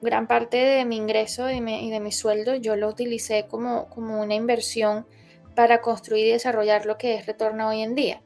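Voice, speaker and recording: A woman's voice: a little high, flat delivery, moderate pace, darkish tone, soft background music. A young woman from Colombia, raised in Venezuela, giving a hesitant interview full of fillers and restarts. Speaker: interviewee, founder. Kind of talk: interview testimony.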